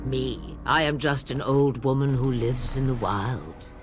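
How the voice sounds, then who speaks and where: A woman's voice: very deep voice, unhurried, music in the background. An actress playing a shrewd, imperious old witch mother in a video game, speaking in a theatrical, commanding, wry manner. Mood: ominous. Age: older. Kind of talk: dramatic video game acting.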